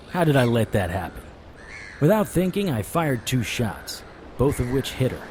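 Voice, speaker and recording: Hard-boiled detective, very low pitch, terse, deadpan, weary, audio drama, clearly noisy background. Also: male voice. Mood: urgent. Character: hard-boiled male private investigator, weary and wry. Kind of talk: noir audio drama narration and dialogue.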